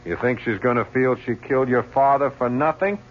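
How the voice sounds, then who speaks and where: An actor playing a relentless police lieutenant in a radio drama, probing and insinuating with control. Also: male voice, medium pitch, fairly quick, lightly acted. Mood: goading. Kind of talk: 1970s radio drama acting. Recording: muffled, humming old radio broadcast.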